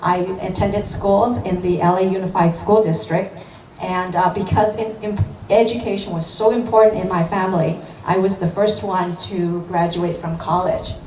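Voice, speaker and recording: A middle-aged woman delivers an earnest campaign speech, stumbling through frequent fillers and restarts. Her voice comes from some distance off the mic, rings around a reverberant room, and sits over a clear bed of background noise. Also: lowish voice, fairly even intonation, moderate pace, darkish tone. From born in Hong Kong, grew up in Los Angeles. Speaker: city council member. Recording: echoey room, voice from afar, noisy.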